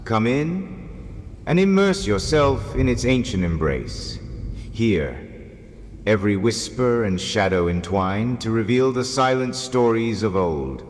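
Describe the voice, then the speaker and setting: Slow, spectral old mansion lord, acted by a man. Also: lowish voice, big pitch swings, slight background noise. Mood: solemn welcome. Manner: formal, ominous, welcoming. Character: spectral aristocratic old host of a haunted mansion. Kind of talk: gothic audio drama acting. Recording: long reverb, faint music behind.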